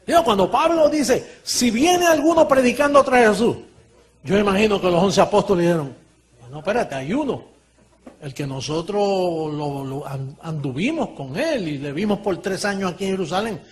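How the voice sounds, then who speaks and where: A man preaching a sermon in an animated way, swinging from quiet asides to shouting and laughing often as he talks. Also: high-pitched, normal speed.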